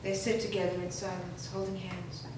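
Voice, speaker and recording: A woman's voice: on the low side, level tone, normal speed. A woman reading stage directions as scripted narration at a staged screenplay reading. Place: live staged reading in a reverberant room. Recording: distant, very echoey room, background noise.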